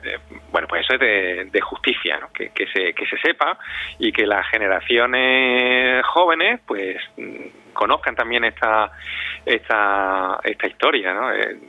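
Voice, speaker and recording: A man's voice: on the low side, measured pace, pushed and bright, no room sound. A man answering interview questions conversationally, reflective and often repeating words as he searches for phrasing. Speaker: interviewee, community radio director. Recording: dull lo-fi sound, dry.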